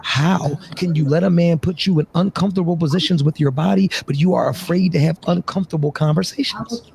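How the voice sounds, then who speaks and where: A man's voice: fairly high pitch, brisk, vocal fry, a little mellow, slight background noise. A man in his forties giving blunt dating advice in a lecturing way. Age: 40s. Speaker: guest in a played clip. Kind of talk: advice talk.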